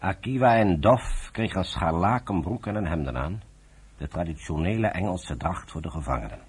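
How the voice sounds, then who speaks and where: A man with a rough, husky voice narrating a radio drama from a script. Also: deep voice, expressive, normal speed.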